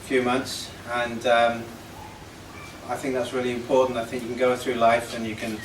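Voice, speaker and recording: A man in his fifties giving an impromptu, sincere thank-you speech in a halting way. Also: medium pitch, little pitch movement. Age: 50s. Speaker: birthday honoree giving a speech. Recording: echoey, noisy room, distant microphone.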